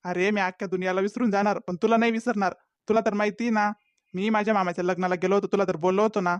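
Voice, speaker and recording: Someone playing a sly smooth-talking village lad. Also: medium pitch, fairly even intonation, fast talker, dry recording, lo-fi sound, natural voice. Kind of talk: cartoon comedy voice acting.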